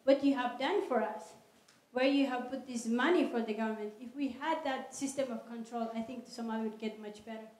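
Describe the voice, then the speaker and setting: Woman, higher voice, earnest, handheld mic, echoey lecture hall. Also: a little mellow. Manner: earnest, measured, non-native phrasing. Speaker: guest speaker answering audience questions. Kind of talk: public Q&A talk. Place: large draped lecture hall. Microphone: handheld microphone.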